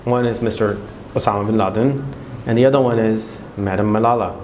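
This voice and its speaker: A man's voice: fairly low pitch, steady tone, a little fast, darkish tone, roomy sound, a little hiss or hum. A man from Pakistan with a Pakistani accent, talking casually to camera with lots of fillers and restarts. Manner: chatty, many fillers and restarts. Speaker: vlogger.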